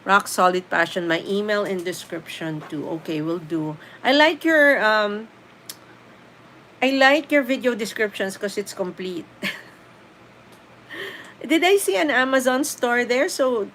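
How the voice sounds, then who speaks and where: A woman's voice: mid-range voice, unhurried, a bit bright, a little hiss or hum. Chatty Filipino woman live-streaming. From Philippines. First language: Tagalog. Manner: chatty, reads comments aloud and answers, mixes in Tagalog, laughs often. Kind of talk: casual live stream chat reading viewer comments.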